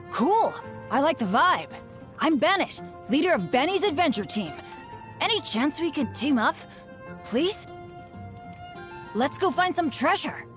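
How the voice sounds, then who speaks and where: A woman voicing an upbeat, unlucky teenage boy adventurer in a video game, earnest and boyish. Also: high voice. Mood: eager, friendly. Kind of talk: video game character voice lines. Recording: studio voice over background music.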